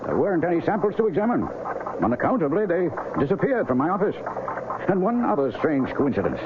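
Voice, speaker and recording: An actor playing a troubled, weary, kindly aging doctor, speaking in a folksy, musing way in an old-time radio drama. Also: male voice, on the high side, big pitch swings, brisk. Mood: weary, concerned. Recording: tinny, noisy vintage radio recording.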